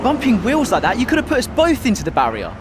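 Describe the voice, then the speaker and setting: Angry young racer. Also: male voice, very high-pitched, huge pitch swings, fast, noisy background, exaggerated voice. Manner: indignant. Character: angry young male racing driver. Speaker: racing driver.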